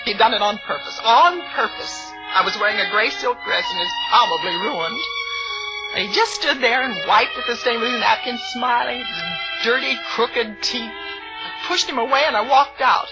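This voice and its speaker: High-pitched, fretful fussy spinster. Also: female voice, animated, normal speed. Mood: aggrieved. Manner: precise, indignant, fretful, breaking into cries. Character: fussy, anxious middle-aged spinster office worker, easily rattled. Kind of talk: 1940s radio suspense acting. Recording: muffled old radio broadcast with music.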